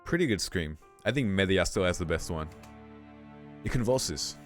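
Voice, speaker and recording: Low-voiced man reading a visual novel. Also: lively intonation, moderate pace. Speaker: let's play host. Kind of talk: reading visual novel narration aloud, with casual commentary. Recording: music playing behind the voice. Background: game music.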